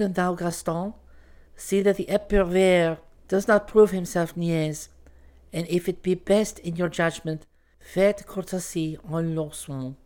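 A male narrator plays a young noblewoman of old France, gracious yet used to command. The voice is unhurried and smooth, with courtly, old-fashioned phrasing and French terms. It suits a storybook romance. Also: high voice, darkish tone, pitched above the performer's own voice. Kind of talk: audiobook character voice.

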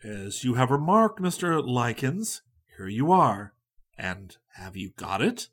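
A man voicing a solemn, self-possessed gentleman who speaks slowly and calmly with dry politeness. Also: mid-range voice, slightly rough, full character voice. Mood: deliberate. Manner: slow, calm, dryly polite.

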